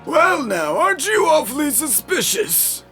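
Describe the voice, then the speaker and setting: A performer voicing a suspicious, dismissive gatekeeper in a video game, loud, curt and scornful, with music behind. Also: high-pitched, big pitch swings, unhurried. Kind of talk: voiced video game dialogue.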